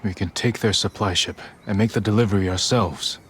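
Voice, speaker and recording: Guy doing serious samurai hero from a game, deep voice, calm and clipped, bit of background noise. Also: lightly acted. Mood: determined. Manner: terse, measured, earnest. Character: resolute, stoic samurai warrior. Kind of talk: video game cutscene acting.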